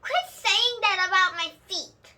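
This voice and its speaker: A woman's voice: very high voice, expressive, takes it slowly, sharp tone, pitched above the performer's own voice, exaggerated voice. A woman voicing a huffy, boastful little girl who insists she is grown-up in a storybook, stressing words emphatically. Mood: indignant.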